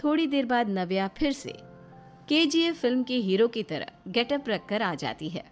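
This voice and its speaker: A woman's voice: a little high, expressive, moderate pace. A woman telling a scripted story as its narrator. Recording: music behind the voice.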